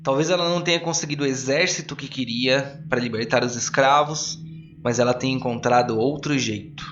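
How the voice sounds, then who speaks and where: A man playing a solemn, measured master of an arcane council, formal and authoritative, in a tabletop audio drama. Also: medium pitch, a bit bright, recorded from a distance, highs cut off, faint music behind, natural voice. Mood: solemn, firm.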